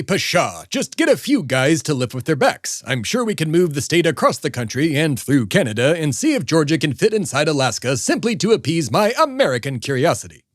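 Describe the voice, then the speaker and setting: A pompous billionaire podcast host acted by a man holds forth in a comedy audio drama. His voice sits fairly high and swings widely with theatrical, announcer-like emphasis. The sound is close and dry. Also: dry recording. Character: pompous, blustering billionaire man, self-proclaimed embodiment of America.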